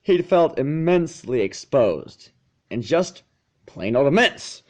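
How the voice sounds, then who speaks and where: Male storyteller, mid-pitched and highly animated, dramatic emphasis, expressive read-aloud narration. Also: takes it slowly. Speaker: fan fiction narrator.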